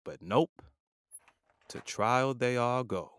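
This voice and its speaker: A man narrating a scripted audio drama in a measured, descriptive way. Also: medium pitch, animated, fairly bright tone, clear, resonant tone, no room sound.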